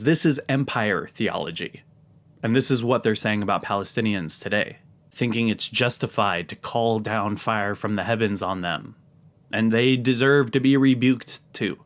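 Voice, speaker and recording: A man's voice: lowish voice, normal speed. An American man speaking earnestly and emphatically, as a host giving religious commentary straight to his viewers. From United States. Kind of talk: earnest talk to camera.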